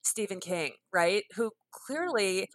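A woman's voice: mid-range voice, expressive, takes it slowly, a bit bright, no echo. A woman guest author in a conversational interview, chatty, laughing often and trailing off to restart her thoughts.

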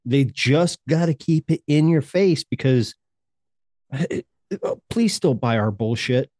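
A man's voice: mid-range voice, expressive, unhurried, a little mellow, no echo. Heated, profane male co-host, sarcastic rants, dry and close. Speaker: podcast co-host. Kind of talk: casual chat.

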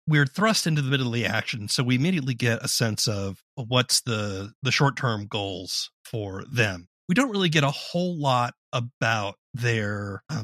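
A man's voice: medium pitch, a bit bright, dry recording. Dry-recorded man hosting an interview. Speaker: podcast interviewer. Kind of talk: conversational interview.

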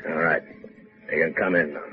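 Menacing, sneering smuggler sea captain. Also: male voice, rumbling low, fairly even intonation, moderate pace, music in the background. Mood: commanding. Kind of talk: 1950s radio drama acting. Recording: muffled 1950s radio recording.

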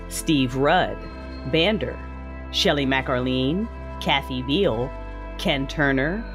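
A woman host reading scripted closing announcements and credits for an audio drama podcast. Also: sits low. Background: music.

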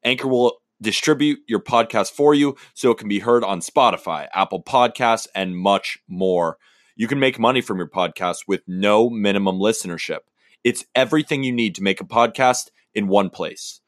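A man's voice: on the low side, moderate pace, dry recording, clean studio sound. Upbeat male baseball podcast co-host. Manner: upbeat, energetic and positive. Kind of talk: casual sports podcast chat.

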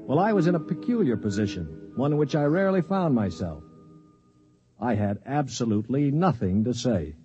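A man's voice: mid-range voice, big pitch swings, normal speed, mellow, muffled recording, faint music behind. A man narrating and playing the wry, commanding lead of a 1950s science fiction radio drama. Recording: noisy 1950s radio broadcast.

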